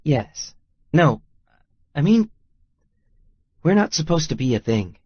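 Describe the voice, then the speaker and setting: A woman voicing an exasperated, guarded young love interest in a romance audiobook, with a breathy voice. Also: sits low, big pitch swings, slow pace, no echo, lo-fi sound, heavily acted. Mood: reluctant, guarded. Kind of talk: acted audiobook dialogue.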